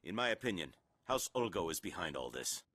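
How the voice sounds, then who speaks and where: An actor voicing an alarmed military officer in a video game, urgent and formal. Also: male voice, low-pitched, sing-song, a little fast, a bit bright, dry recording, heavily acted. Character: brisk, alarmed male military officer reporting to superior.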